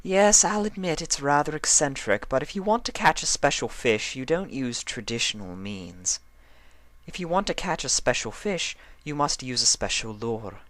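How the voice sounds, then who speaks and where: A man's voice: mid-range voice, big pitch swings, normal speed, fairly bright tone, dry recording, voice pushed up. A man voicing a prim, fussy, bossy female pony dressmaker with affected, refined diction in a storybook reading. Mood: airy, knowing.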